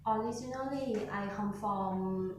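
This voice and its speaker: A woman from northern Thailand with a Thai accent, answering speaking-test questions in halting English with pauses. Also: medium pitch, background noise. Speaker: test candidate. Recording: echoey room, voice from far off.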